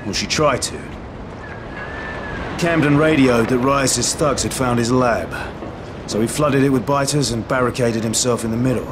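A man acting as a somber resistance fighter in a video game, giving a measured, matter-of-fact briefing. His voice has a medium pitch, with clear outdoor urban noise behind it. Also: close to an everyday voice. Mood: urgent, informing. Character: grave, loyal male resistance ally briefing a comrade.

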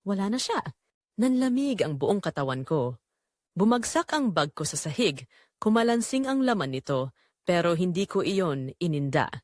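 A woman's voice: lowish voice, big pitch swings, normal speed, darkish tone, dry recording, studio quality. A woman storyteller reading a scripted first-person romance drama in a dramatic narrating style.

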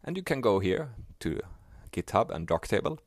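A man whose first language is Swedish, explaining a software task step by step in a plain, unhurried way. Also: lowish voice, very animated, no room sound, clean studio sound. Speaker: software tutorial maker. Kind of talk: screen-recorded software tutorial.

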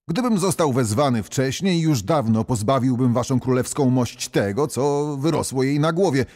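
A man narrating a legend as a scripted audio tale, in a measured, dramatic storytelling manner. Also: on the low side, dark tone, dry recording, clean studio sound. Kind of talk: scripted fairy-tale narration with musical backing.

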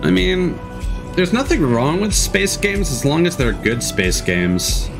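Lively male streamer over background music. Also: on the high side, unhurried, gravelly. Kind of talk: casual live reaction commentary. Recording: music and trailer audio behind. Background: game showcase music.

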